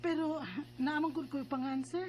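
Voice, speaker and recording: An actress plays a young mother in a radio drama. Her voice sits high and sounds plaintive, full of worried questions. There is faint noise in the recording. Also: fairly even intonation, normal speed, a little mellow, lightly acted. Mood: distressed. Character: earnest, distressed young mother, bride-to-be named Eileen. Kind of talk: radio drama acting with narration.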